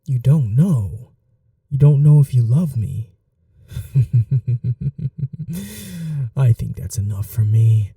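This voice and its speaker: A man voicing an obsessive, grieving male vampire in an audio roleplay, whispering softly and swinging between menace and desperate pleading. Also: mid-range voice, gravelly, no echo, clean studio sound, far from the mic, heavily acted. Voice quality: soft whispering, intimate.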